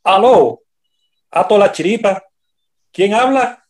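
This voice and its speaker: A man's voice: sits high, lively intonation, takes it slowly. A man acting a folksy, loyal working man with a colloquial Venezuelan accent in a radio soap opera. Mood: delighted.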